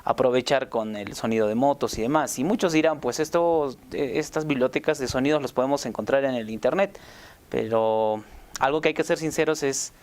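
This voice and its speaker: Guy, clean smooth voice, casually telling how the work went. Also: medium pitch, fairly quick, a little mellow. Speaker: radio drama sound production team member. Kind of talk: casual recollection to camera.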